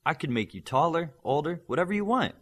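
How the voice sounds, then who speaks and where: A man's voice: a little high, big pitch swings, fast, pitched above the performer's own voice. A man voicing a blunt, short-tempered young female healer with superpowers in an audiobook, in a matter-of-fact, prickly way. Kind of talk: audiobook character voice.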